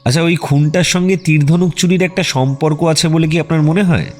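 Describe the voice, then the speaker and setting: A man playing a composed, detached private detective who talks in a measured way in an audio drama. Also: on the high side, steady tone, a little mellow, natural voice. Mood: polite questioning. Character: calm, methodical male private detective. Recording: faint music behind the voice.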